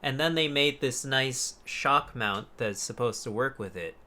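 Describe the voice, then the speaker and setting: Bright-voiced man bantering on a podcast. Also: medium pitch, a little slow. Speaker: podcast co-host. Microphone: close USB desk microphone on an arm.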